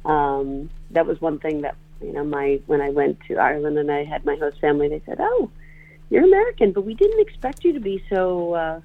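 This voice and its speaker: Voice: mid-range voice, expressive, a little fast. A guest in their forties from northeastern Pennsylvania, telling their story in a rambling, hesitant radio interview with many fillers and restarts. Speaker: guest, musician and songwriter. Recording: tinny phone-like radio audio.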